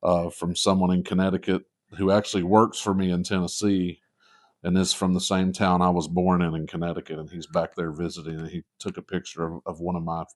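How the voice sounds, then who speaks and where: A man from Western North Carolina, a podcast guest telling stories in a relaxed, chatty way. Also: deep voice, brisk. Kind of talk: casual chat.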